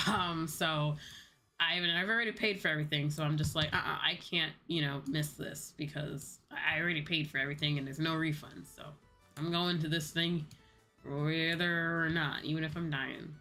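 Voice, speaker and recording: A woman's voice: low-pitched, normal speed, sharp tone, soft background music. A young adult woman chatting casually on a livestream, informal and chatty, often repeating her phrases. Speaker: VTuber live streamer.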